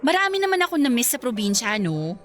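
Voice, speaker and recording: A woman's voice: a little high, big pitch swings, fast, a bit bright. A woman acting a playful but guarded young woman who teases her admirer, in a radio romance drama. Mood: wistful, guarded. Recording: background music and crowd chatter.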